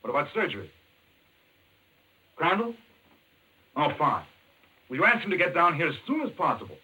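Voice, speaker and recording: Earnest radio-drama doctor, bright, animated voice. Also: male voice, a little high, husky, exaggerated voice, rough recording. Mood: urgent, businesslike. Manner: brisk, intense, clipped. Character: earnest, urgent male doctor hero under pressure. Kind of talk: 1940s radio thriller acting. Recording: old radio broadcast, faint noise.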